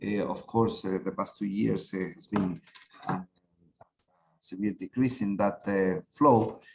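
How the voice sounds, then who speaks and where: Low-voiced man with halting delivery. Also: steady tone, gravelly, slight background noise. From Chile. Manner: halting, with many fillers and repeated words. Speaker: university rector. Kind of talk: panel talk.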